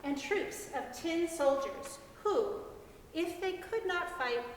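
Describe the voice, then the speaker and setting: A woman giving an expressive, deliberate dramatic reading of a literary story. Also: very high voice. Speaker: reader in a church's dramatic reading. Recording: very echoey hall, distant mic, faint noise.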